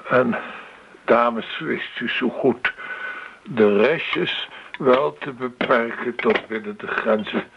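Fussy formal waiter, heavy vocal fry, very slow radio-play delivery, noisy recording. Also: male voice, mid-range voice, lo-fi sound. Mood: stiffly polite. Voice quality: gravelly, creaky. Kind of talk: radio drama acting.

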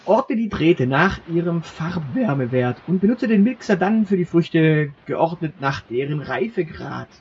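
A man co-hosting a casual podcast, talking in a wry, halting way with many fillers, and acting as game master for a short role-play game. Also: fairly high pitch, expressive, a little mellow, dull audio, slight background noise.

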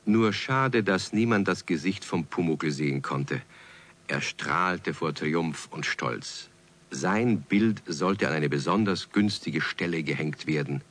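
Deep-voiced animated storyteller. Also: male voice, exaggerated voice. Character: calm, amused male storyteller of children's tales. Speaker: narrator. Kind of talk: scripted radio play narration.

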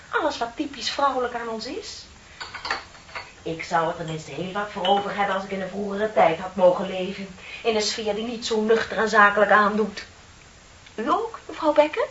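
A woman's voice: mid-range voice, moderate pace, fairly bright tone, lightly acted. An actress playing a chatty, dreamy younger female office clerk in a Dutch radio play, with a theatrical, wryly ironic way of talking. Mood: indignant, wistful. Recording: dull, hissy old radio recording.

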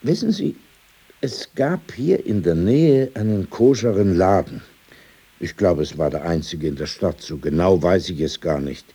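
A man's voice: low-pitched, animated, dark tone, husky, heavily acted. An actor playing a courteous, opinionated old man in a radio play, telling anecdotes insistently with many asides. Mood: courteous, narrating. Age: older. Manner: polite, insistent, drawn-out storytelling with asides.

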